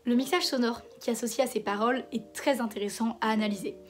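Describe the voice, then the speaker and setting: Hoarse woman talking to camera. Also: fairly high pitch, steady tone, fast. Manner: conversational, hesitant fillers and restarts. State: hoarse from a cold. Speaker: video essayist host. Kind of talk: casual commentary video essay.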